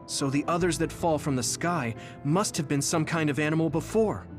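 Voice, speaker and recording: An earnest, caring teenage boy from an anime game, played by an actor. The voice is youthful and sincere, with music clearly playing beneath it. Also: medium pitch, normal speed, close to an everyday voice. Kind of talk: English game dub acting. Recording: music behind the voice.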